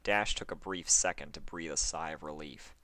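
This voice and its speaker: Low, bright-voiced male story narrator. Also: moderate pace, no room sound. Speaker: fan fiction narrator.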